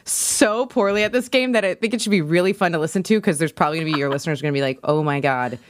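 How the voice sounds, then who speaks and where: A woman guesting on a podcast, chatting casually in an expressive, self-deprecating way. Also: deep voice, very fast, dry recording. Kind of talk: casual chat.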